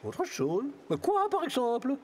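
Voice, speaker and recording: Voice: high-pitched, unhurried, crowd noise, exaggerated voice. Theatrical kraken with wildly swooping voice. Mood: puzzled, polite. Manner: theatrical, swooping from grand politeness to gleeful excitement. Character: giant kraken, boastful boat collector, easily distracted. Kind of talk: children's cartoon acting. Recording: music behind the voice.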